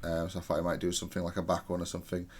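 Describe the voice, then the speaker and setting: A man with a fairly low voice talks through his own gameplay. His delivery is analytical and matter-of-fact, with a self-critical streak. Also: monotone, racing, husky. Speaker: gamer reviewing own match.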